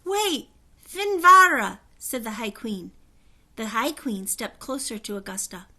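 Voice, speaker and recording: A woman reading a children's story aloud, in an expressive narrating style. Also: medium pitch, normal speed, slightly rough. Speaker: storybook reader.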